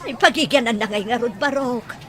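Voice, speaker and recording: A woman's voice: fairly high pitch, a little fast, a crowd in the background, natural voice. A woman playing an anxious, devoted old mother in an audio drama, pleading plaintively with an Ilocano Filipino accent.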